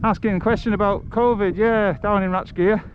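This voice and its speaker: A man's voice: high-pitched, fairly even intonation, moderate pace. A man chatting casually and wryly in a rambling way as he hosts a walking livestream. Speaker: livestream host and travel vlogger. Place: outdoors, walking along a village road. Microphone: action camera on a head strap. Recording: muffled outdoor audio with loud wind noise. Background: wind and ambient outdoor noise.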